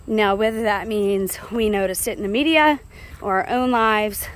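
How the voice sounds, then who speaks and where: A woman's voice: medium pitch, normal speed. An American woman vlogging in a casual, chatty, digressive and confiding way as she walks along and talks into a phone. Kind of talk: casual walk-and-talk monologue. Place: outdoors walking along a rural road. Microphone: handheld phone. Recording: clear outdoor roadside noise.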